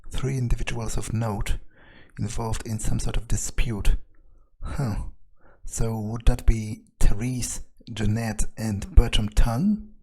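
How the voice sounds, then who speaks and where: A man with a slightly husky voice, narrating a game playthrough in character as a wary vampire, muttering his thoughts hesitantly. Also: fairly low pitch, flat delivery, takes it slowly, dark tone. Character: vampire agent, profane and wary, thinking aloud. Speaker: let's player speaking in character.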